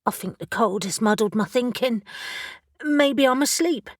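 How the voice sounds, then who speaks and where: A woman's voice: fairly high pitch, lively intonation, normal speed, no echo, studio quality. A woman voicing a confused, frightened young woman locked in a cell, in a storybook reading. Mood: anxious.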